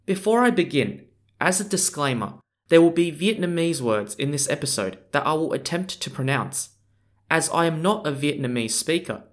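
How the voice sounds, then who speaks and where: A man's voice: fairly high pitch, fairly bright tone. A man hosts a history podcast, reading a script earnestly and spelling out foreign names letter by letter. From Australia. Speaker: podcast host.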